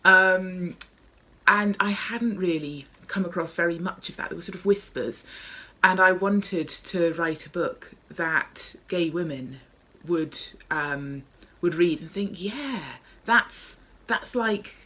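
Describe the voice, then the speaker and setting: A woman's voice: on the low side, fairly even intonation, slow, pushed and bright, poor audio. A woman author speaking and reading from her memoir in a wry, understated way.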